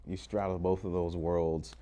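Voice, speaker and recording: Very deep-voiced, mellow woman host. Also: unhurried. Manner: relaxed, curious, laughs along. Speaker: podcast host. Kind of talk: interview.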